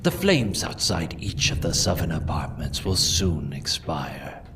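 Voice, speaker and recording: A man's voice: very deep voice, sing-song, measured pace, background noise, full character voice. A man playing the Red Death, a merciless masked spirit of plague, speaking in solemn, ominous pronouncements in a gothic audio drama. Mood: grave, ominous. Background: dramatic music.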